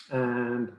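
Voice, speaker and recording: Slow, halting Mexican man in a roomy call. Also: mid-range voice. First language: Spanish. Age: middle-aged. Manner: halting, with frequent pauses and hesitations. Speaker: professor on a panel. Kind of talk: informal self-introduction on a video call. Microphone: video call. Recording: roomy, muffled video-call audio.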